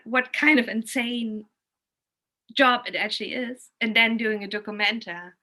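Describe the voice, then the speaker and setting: A woman's voice: a little high, bright, forceful tone. A woman with a German accent, a native German speaker, talking casually and emphatically in an informal, opinionated way. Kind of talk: casual, animated conversation.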